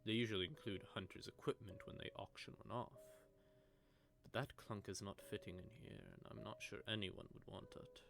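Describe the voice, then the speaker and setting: A man's voice: lowish voice, fairly even intonation, a little fast, gravelly. A man playing a sardonic, predatory vampire with a sentimental streak, teasing and taunting in an intimate audio roleplay monologue. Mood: wistful teasing. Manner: teasing, taunting, drawling menace with soft asides. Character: sardonic, predatory male vampire, secretly sentimental toward prey.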